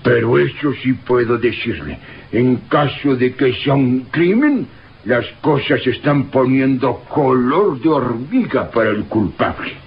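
A man's voice: mid-range voice, lively intonation, measured pace, husky. An actor playing a deferential, nervous village man, hesitant and repeating himself, in an old radio drama. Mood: respectful unease. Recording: dull noisy old radio recording.

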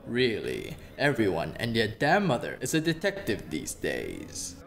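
A man playing a gruff, scornful FBI chief in an audio play, American and challenging in manner. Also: fairly low pitch, huge pitch swings, unhurried, fairly bright tone, heavily acted. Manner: scoffing, challenging.

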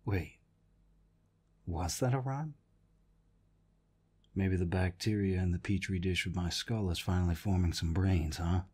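An actor playing a gruff, protective older war veteran in an intimate audio roleplay, deep-voiced, tender and teasing. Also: male voice, measured pace, long pauses, darkish tone. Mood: wry, teasing.

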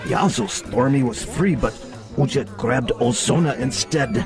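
A man playing an excitable teacher narrating to his class in a theatrical radio comedy style. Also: mid-range voice, measured pace, over loud music, lightly acted. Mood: excited. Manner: exclamatory, theatrical.

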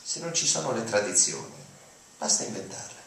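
Young male dramatic reader, lowish pitch, distant and heavily reverberant. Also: expressive, fairly quick, gravelly, a bit bright. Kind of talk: dramatic reading of essays aloud. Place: auditorium at a lectern. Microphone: lectern mic, recorded from audience. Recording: echoey hall from far off, faint noise.

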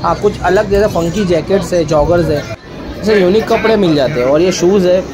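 A man's voice: sits high, fairly quick. A young man narrating a casual vlog, chatting about traffic and the crowds while he shops. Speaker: vlogger. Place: car in traffic, then crowded shopping mall. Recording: loud crowd and street noise.

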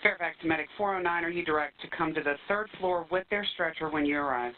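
A woman's voice: low-pitched, fast. A woman working as a fire dispatcher, relaying scripted calls over a two-way radio. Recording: tinny radio with faint noise.

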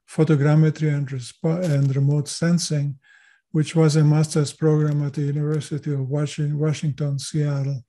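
Older man, native German speaker, mellow voice. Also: on the high side. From Chile. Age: 70s. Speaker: GIS consultant and lecturer, interview guest. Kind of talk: measured interview answers.

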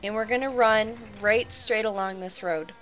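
A woman's voice: mid-range voice, steady tone, normal speed, fairly bright tone, low-quality recording. A young woman with a head cold and a slightly nasal voice, casually chatting through a game walkthrough as its host, upbeat and laughing often.

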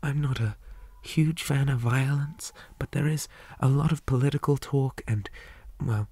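A man playing a shy, polite zombie boy in an ASMR roleplay, speaking softly, often in whispers, hesitant and flustered with old-fashioned manners. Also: mid-range voice, a little slow, no room sound. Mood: nerdy, eager. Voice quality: soft, breathy, often whispered.

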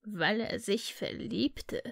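A woman's voice: on the high side, measured pace, vocal fry. A woman reading the part of a grumpy, miserly old man who answers curtly and scornfully. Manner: curt, scornful grumbling.

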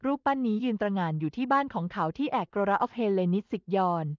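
A woman's voice: medium pitch, animated, fairly quick, a little mellow, dry recording, dull audio. A woman reading a scripted tale as a storyteller.

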